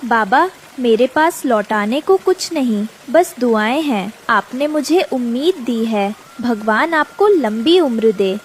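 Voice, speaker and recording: A woman's voice: on the high side, lively intonation, takes it slowly, slight background noise. A woman voicing a poor, devoted mother bird in a children's cartoon, earnest and plaintive. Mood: grateful, earnest.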